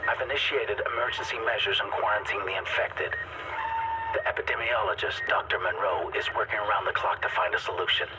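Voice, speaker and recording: A man's voice: on the low side, brisk, close to an everyday voice. Man acting a weary ship's physician on noisy log. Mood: urgent, controlled. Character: weary male ship's physician, grave, slowly losing hope. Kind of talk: recorded audio log, dramatic game acting. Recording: noisy distant radio-like log with ship hum.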